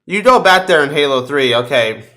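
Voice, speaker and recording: A man's voice: on the high side, animated, moderate pace, pushed and bright. A man hosting a podcast, chatting casually about video games in slangy, sweary bro talk. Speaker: podcast host.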